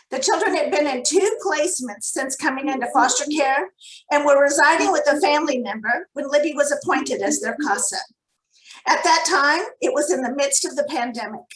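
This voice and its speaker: A woman's voice: sits high, fairly bright tone. A woman program director reading a prepared story aloud over a video call from an echoey room.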